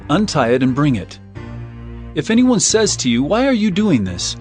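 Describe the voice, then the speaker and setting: An authoritative male teacher, higher-pitched, animated, solemn declamation, scripture audio drama, faint music behind. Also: moderate pace. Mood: instructing.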